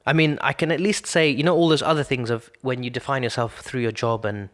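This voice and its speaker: A man's voice: medium pitch, steady tone, fast talker, a bit bright. A man in his thirties thinking aloud in a casual conversation, restarting sentences as he works through his ideas. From Britain. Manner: reflective, thinks aloud with many restarts and fillers. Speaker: podcast co-host.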